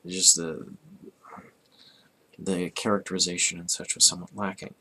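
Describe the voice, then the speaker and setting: A man in his thirties, hoarse from a cold, reviewing a comic casually and rambling with restarts. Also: sits low, flat delivery, moderate pace, sharp tone, poor audio. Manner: rambling, frequent restarts and throat clearing.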